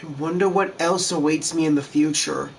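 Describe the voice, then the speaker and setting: A man's voice: on the high side, fairly even intonation, moderate pace, creaky, a little hiss or hum, poor audio. A man hosting a video game walkthrough, reading game text aloud and commenting casually. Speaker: gameplay walkthrough host.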